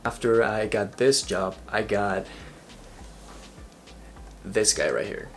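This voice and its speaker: A man's voice: on the low side, takes it slowly, faint music behind. Earnest young man with Ecuadorian accent. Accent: Ecuadorian Spanish. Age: young adult. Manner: earnest, motivational, a few restarts. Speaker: vlogger. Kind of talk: personal vlog monologue.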